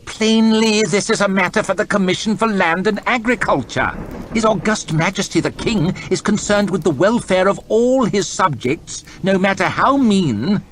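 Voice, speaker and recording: A haughty lord chamberlain, put on by a male narrator, barks his scorn at everyone in the room. The voice sits high and strained, and it leaps wildly between words. This is storybook reading with full character voices. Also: lively intonation, moderate pace, fairly bright tone, a little hiss or hum. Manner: arrogant, bellowing and contemptuous. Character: pompous, irritable middle-aged male lord chamberlain.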